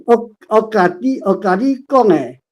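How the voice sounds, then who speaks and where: A woman's voice: a little high, animated, unhurried. A woman with a Taiwanese accent reading a script aloud hesitantly, sounding out words piece by piece over an online call. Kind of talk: halting read-aloud. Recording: dull lo-fi online call audio.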